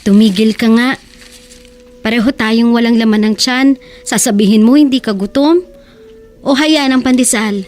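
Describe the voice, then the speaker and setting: Woman acting devoted young wife, high emotional voice. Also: moderate pace, long pauses, darkish tone, faint music behind, natural voice. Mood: coaxing, insistent. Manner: emotional, coaxing. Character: poor, devoted young wife, anxious yet encouraging. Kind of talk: Tagalog radio drama acting.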